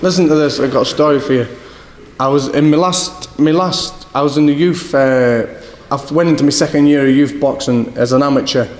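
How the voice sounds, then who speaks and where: A young man from Scotland with a northeast English accent, a boxer telling rambling stories in an interview, often repeating "do you know what I mean". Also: medium pitch. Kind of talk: casual interview answers. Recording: echoey hall with faint background noise.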